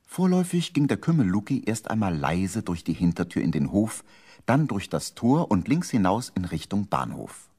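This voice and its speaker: A man's voice: lowish voice, very animated, moderate pace, a little mellow, slightly rough. A man narrating a 1970s children's radio play in a theatrical, emphatic storytelling manner.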